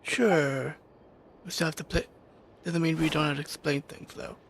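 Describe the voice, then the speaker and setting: A woman's voice: low-pitched, moderate pace, gravelly, a little hiss or hum. A woman giving casual commentary as she plays a game, musing aloud. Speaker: game streamer.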